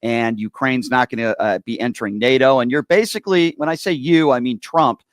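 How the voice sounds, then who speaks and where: A man's voice: mid-range voice, fairly quick, no room sound. An American man hosting a political talk show, interviewing a guest and offering his own opinions.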